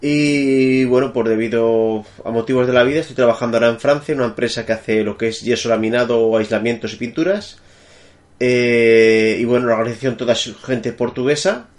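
A man's voice: medium pitch, fairly even intonation, a little fast. Guest, male, Madrid accent, conversational, speaks over a remote call, searches for words as he talks. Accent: Madrid Spanish. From Spain. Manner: thinking aloud, many fillers and restarts. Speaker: guest, technical architect. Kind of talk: remote interview answers.

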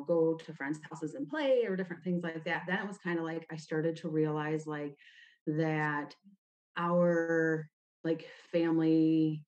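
A woman with a notably low-pitched voice. She speaks as a guest in a personal interview, telling stories informally and dropping in "like" often. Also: darkish tone, low-quality recording. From United States, grew up in Ohio. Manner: informal, frequent "like" as a verbal habit. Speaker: podcast guest. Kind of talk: conversational personal storytelling in an interview.

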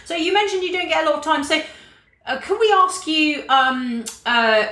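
A woman's voice: sits high, moderate pace, pushed and bright. A woman hosting a casual radio interview, enthusiastic, laughing often and using many fillers. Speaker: radio host. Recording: roomy echo.

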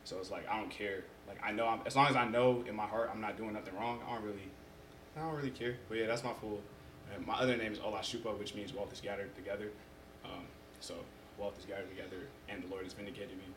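Fast-talking young man, echoey room. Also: fairly low pitch. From United States. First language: Yoruba. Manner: relaxed storytelling, frequent fillers. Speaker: podcast guest. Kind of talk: casual conversation. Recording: echoey room with background noise.

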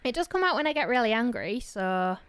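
Blunt woman with a softened Yorkshire accent. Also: fairly high pitch, very animated, a little fast, clean tone, no room sound. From Yorkshire, England. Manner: blunt, dry and joking, with casual swearing. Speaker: podcast co-host. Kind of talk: casual true-crime chat.

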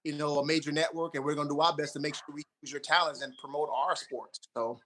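A man's voice: fairly high pitch, steady tone, quick pace, a bit bright. A man co-hosting a casual chat, giving encouraging advice in a mentoring way.

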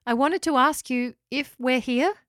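A woman voicing a gentle, polite female walking house in a storybook. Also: high voice, a little slow, no echo, clean studio sound, pitched above the performer's own voice. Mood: kind. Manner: soft and polite.

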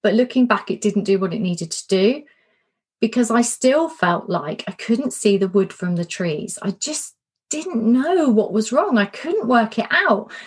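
Middle-aged woman coach chatting through a workshop, candid, repeats words, voice a bit hoarse. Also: on the high side, animated, measured pace. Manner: warm, candid, self-reflective, repeats words for emphasis. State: slightly losing her voice. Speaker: coach and podcast host. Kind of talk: casual spoken workshop talk.

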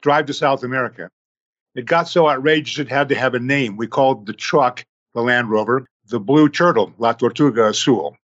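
A man in his sixties, a podcast guest who tells his story slowly, in numbered steps. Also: mid-range voice, fairly bright tone, muffled recording.

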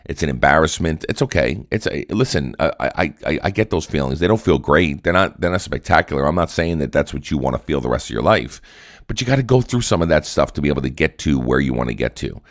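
Solo podcast host, male, deep and raspy with a cold, earnest pep-talk delivery. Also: lively intonation, quick pace, dry recording, clean studio sound. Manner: earnest, repetitive, coaching tone.

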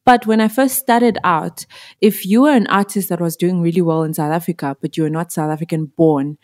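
Woman, 30s, from Zimbabwe, lowish voice, thoughtful musician guest. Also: fairly even intonation, brisk. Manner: reflective, with fillers and soft laughs. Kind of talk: podcast interview.

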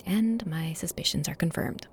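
Actress as a sardonic, gravel-edged woman gambler. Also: lowish voice, normal speed, very noisy, natural voice. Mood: wry, confiding. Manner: wry, candid, conversational, sweary. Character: sardonic, streetwise woman gambler telling her own story. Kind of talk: first-person audio drama narration and dialogue.

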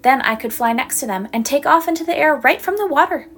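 A woman's voice: high voice, animated, quick pace, fairly bright tone, pitched above the performer's own voice. A woman voicing a silly, dreamy little boy duckling who talks in an eager, wishful way for a storybook.

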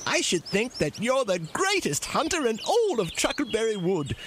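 A man's voice: extremely high pitch, huge pitch swings, normal speed, noisy background, full character voice. A man voicing a cuddly little bear in a children's fairy tale, polite, innocent and gushing. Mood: flattering.

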